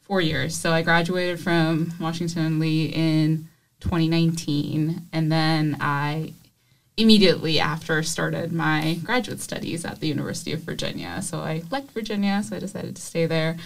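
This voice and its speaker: A young woman from Nepal, an engineering professor, answering interview questions in a measured, thoughtful way with frequent fillers. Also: low-pitched, steady tone, creaky. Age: young adult. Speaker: assistant professor of chemical engineering, guest.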